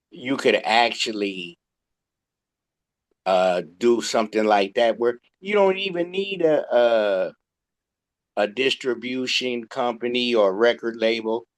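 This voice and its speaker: A man talking casually as a co-interviewer, halting and rambling as he goes. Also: fairly low pitch. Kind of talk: casual conversation.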